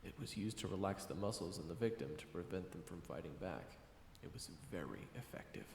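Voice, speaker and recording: A man's voice: deep voice, lively intonation, a little fast, creaky, slight background noise. A man reading scripted second-person story narration for an audio roleplay. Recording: roomy hall reverb, music underneath. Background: background music.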